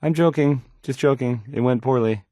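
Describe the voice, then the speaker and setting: Young male radio DJ for a game, played by a man: mid-pitched, nervy and unsure, stumbles through song links, dry studio sound. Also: moderate pace, warm tone, dry recording, natural voice. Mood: rambling, uneasy. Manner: hesitant, rambling, self-doubting. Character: awkward, self-doubting young male radio DJ.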